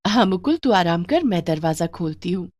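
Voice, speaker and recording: A woman playing a gentle, responsible daughter. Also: on the low side, animated, moderate pace, background noise, close to an everyday voice. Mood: caring. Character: caring, responsible young daughter looking after her family.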